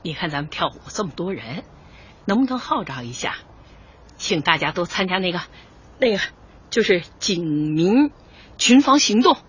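A woman's voice: fairly low pitch, sing-song, normal speed, long pauses, husky, muffled recording, heavily acted. An actress playing a bold, hearty middle-aged neighbourhood woman with a Northeastern Chinese accent in a radio comedy, folksy and forthright. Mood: earnest. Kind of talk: radio comedy drama acting.

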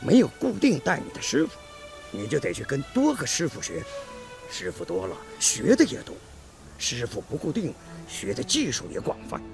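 A man acting a middle-aged factory team leader in a radio drama, gruff but caring, with a commanding, hearty, emphatic delivery. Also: a little high, wildly swooping pitch, moderate pace, over music, full character voice. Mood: earnest.

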